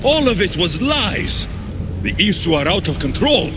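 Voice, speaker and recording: An actor playing an earnest, defiant hero in a video game, with a blunt way of talking. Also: male voice, high-pitched, sing-song, a little slow. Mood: defiant, angry. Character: earnest, defiant human hybrid hero, male. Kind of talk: dramatic video game acting. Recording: loud music behind the voice.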